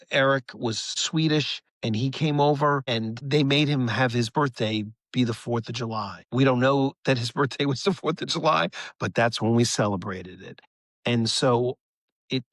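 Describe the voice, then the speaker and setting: An American man in a casual interview, speaking at a middling pitch with a dry, close sound. He tells stories earnestly and in a rambling way, circling back to repeat his phrases. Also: a little slow, fairly bright tone, dry recording. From United States. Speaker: rock musician, interview guest.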